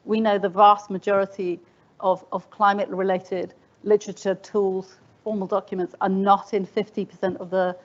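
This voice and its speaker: A woman's voice: medium pitch, level tone, lo-fi sound. Earnest woman speaking at a conference. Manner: earnest, halting, with restarts. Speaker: panel speaker. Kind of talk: conference remarks. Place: conference hall.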